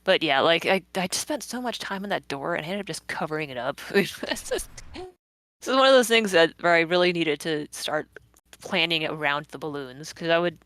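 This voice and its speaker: A woman with a low voice talks casually in a close, dry recording. She is a comic creator giving rambling, giggly commentary with a self-deprecating streak. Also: expressive, normal speed, fairly bright tone, no echo. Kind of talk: casual live commentary chat.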